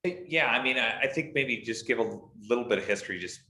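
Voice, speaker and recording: A man's voice: mid-range voice, animated, fast talker, reverberant. A man on an advisory board, giving an easygoing, informal briefing to a city council in a council chamber. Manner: loud, easygoing, self-deprecating. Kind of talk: informal briefing at a public meeting.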